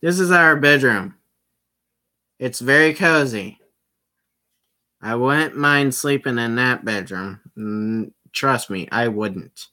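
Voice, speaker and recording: A man's voice: mid-range voice, takes it slowly, long pauses, sharp tone. A man casually reading a visual novel aloud, throwing in joking, swearing asides. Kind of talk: casual let's-play narration.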